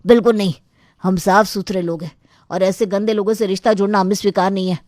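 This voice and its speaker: Woman acting a stern, indignant father, mellow tone. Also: medium pitch, steady tone, quick pace, no room sound, clean studio sound, lightly acted. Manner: firm, indignant. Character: stern, proud father of a prospective groom.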